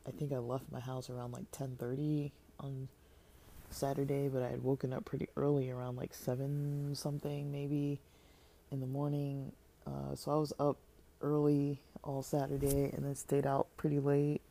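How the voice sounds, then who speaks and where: A woman vlogger talks in a loose, meandering monologue, stopping and restarting her thoughts. Her voice has a gravelly fry and a mellow, darker tone. She sounds a little stuffy and worn out. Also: rumbling low, completely flat delivery, moderate pace, a little hiss or hum, rough recording. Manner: hesitant and rambling, many fillers and restarts. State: slightly stuffy, tired. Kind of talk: casual vlog monologue.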